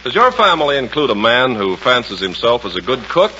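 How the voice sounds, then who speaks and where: A man's voice: medium pitch, sing-song, brisk, sharp tone. A man in folksy, emphatic 1940s radio style, announcing and trading patter on an old broadcast. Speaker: radio announcer and comic performer. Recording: muffled 1940s radio recording, noisy.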